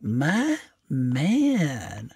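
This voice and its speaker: A man's voice: mid-range voice, huge pitch swings, extremely slow, vocal fry, a little mellow, slightly rough, no echo, heavily acted. A young man playing a cocky, laid-back male student in an audio drama. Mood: cocky, friendly.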